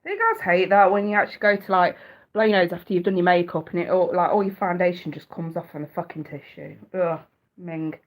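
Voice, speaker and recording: A woman's voice: fairly low pitch, lively intonation, quick pace. Middle-aged woman, stuffy-nosed, rambling live-stream host on a webcam or phone. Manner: chatty, warm to viewers, swears casually. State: blocked nose. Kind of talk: casual live-stream chat.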